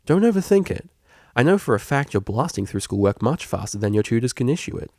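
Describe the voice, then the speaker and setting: A man voicing an energetic, kindly male pony tutor, understanding and playful, with an encouraging, informal manner. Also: mid-range voice, fast talker, no room sound, clean studio sound. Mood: reassuring. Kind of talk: fan fiction audiobook character reading.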